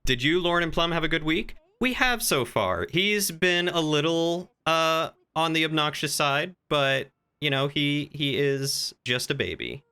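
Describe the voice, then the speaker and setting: A man talking casually on a gaming livestream, chatty and playful, thinking out loud as he goes. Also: on the high side, animated, measured pace, hardly any pauses, clear, resonant tone.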